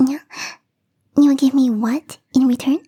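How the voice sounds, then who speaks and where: High-pitched, whispery teasing catgirl played by a woman. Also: fairly even intonation, warm tone, no room sound, studio quality, full character voice. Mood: sly, mock threatening. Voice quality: breathy, whispery. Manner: teasing, coy, unhurried. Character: young female catgirl gardener, teasing, playfully smug. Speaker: voice actress. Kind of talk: ASMR roleplay, whispery soft speech.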